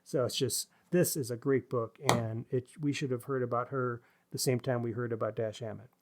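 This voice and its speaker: A man's voice: mid-range voice, fairly even intonation, moderate pace, darkish tone. A man co-hosting a podcast, talking through books in a casual, reflective way with literary wording and some hesitant phrasing. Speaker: podcast co-host discussing books.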